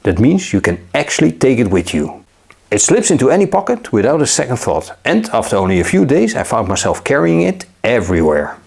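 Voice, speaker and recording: A man narrating a scripted gadget review in a measured, upbeat way. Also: mid-range voice, very animated, creaky, a little mellow, husky. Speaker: tech reviewer.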